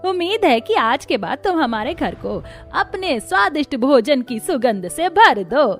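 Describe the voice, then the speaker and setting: Woman voicing a grumbling, demanding older mother-in-law, high-pitched. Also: very animated, unhurried, heavily acted. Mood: eager, pleased. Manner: complaining, bossy. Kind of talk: Hindi cartoon story dialogue. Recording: music behind the voice.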